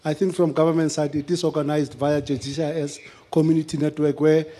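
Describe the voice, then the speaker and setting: A man giving a matter-of-fact, somewhat halting explanation off the cuff at a public discussion. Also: a little high, little pitch movement, dark tone.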